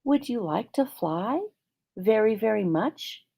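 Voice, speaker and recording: A woman's voice: medium pitch, expressive, takes it slowly, creaky, dark tone, pitched above the performer's own voice, exaggerated voice. A woman voicing a polite old female alley cat from a storybook, a well-traveled creature who talks courteously, like someone telling tales. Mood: eager, confiding.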